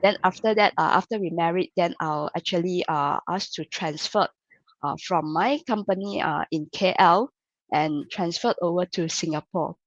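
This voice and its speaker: A woman host from Malaysia in a casual online chat, talking warmly about family life with many fillers. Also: lowish voice, normal speed, no room sound, highs cut off. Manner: chatty, relatable, many fillers. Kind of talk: casual live chat interview.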